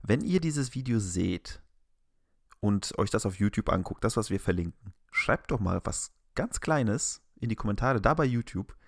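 A man's voice: fairly low pitch, lively intonation, normal speed, vocal fry, dry recording, studio quality. A man with a German accent talking as a podcast co-host in a casual, colloquial, exclamatory way.

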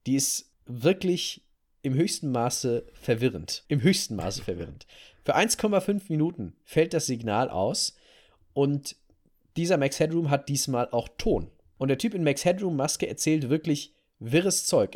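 A man's voice: mid-range voice, darkish tone. Man telling a story in conversation. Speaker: podcast host telling a story.

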